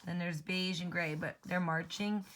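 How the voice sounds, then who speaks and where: A woman's voice: lowish voice, steady tone, moderate pace, a bit bright. A woman teacher reading a children's storybook aloud, expressively, and explaining things in simple terms.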